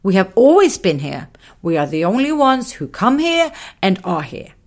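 A woman's voice: mid-range voice, big pitch swings, moderate pace, pitched above the performer's own voice. A woman voicing a small, fussy blue man creature who scolds insistently in a storybook. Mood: agitated.

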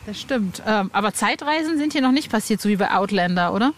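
A woman's voice: mid-range voice, expressive, fairly quick. A woman hosting a podcast, asking questions in a casual, playful interview style. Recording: noticeable ambient noise.

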